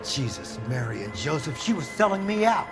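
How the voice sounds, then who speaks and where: An actor playing a middle-aged company boss in a video game, hesitant, guarded and self-justifying. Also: male voice, fairly high pitch, sing-song, normal speed, over loud music. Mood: defensive, indignant. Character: defensive, evasive businessman, company boss under questioning. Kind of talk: video game dramatic acting.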